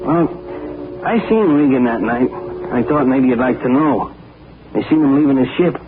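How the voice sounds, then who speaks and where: A man's voice: medium pitch, a little slow, natural voice. A man playing a sneering dockside thug. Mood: sly. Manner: tough slangy street talk, menacing. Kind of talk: 1940s radio acting. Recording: tinny old radio broadcast with hiss.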